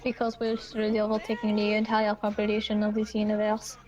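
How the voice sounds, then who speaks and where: A woman's voice: mid-range voice, completely flat delivery, brisk, a little mellow, lo-fi sound, close to an everyday voice. A woman voicing a cool, sultry French woman assassin in a playful put-on French accent while chatting in an online game. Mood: cool, teasing. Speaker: game player.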